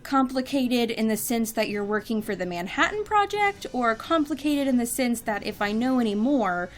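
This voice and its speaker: A woman's voice: fairly high pitch, sing-song, normal speed. An actress playing a guarded, sarcastic young woman, a hardened grad-student daughter, speaking dryly and articulately in an audio drama. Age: young adult.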